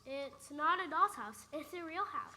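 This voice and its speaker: A woman's voice: sits high, animated, measured pace, sharp tone, exaggerated voice. An actress playing an earnest, slightly skeptical young girl in a radio play, childlike and matter-of-fact, a little hesitant. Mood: earnest, wistful.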